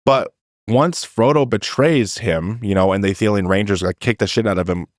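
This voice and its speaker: A man's voice: fairly low pitch, moderate pace, no room sound, clean studio sound. A man hosting a podcast, chatting casually and loosely as he recaps, with some swearing. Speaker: podcast host. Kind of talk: casual film chat, sweary.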